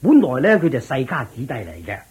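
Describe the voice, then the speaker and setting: An actor with a Hong Kong Cantonese accent playing a pleased, boastful lord in a radio drama. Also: male voice, medium pitch, very animated, quick pace, a little mellow, breathy edge. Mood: explaining, pleased. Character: pleased, self-satisfied lord, boastful of his generosity.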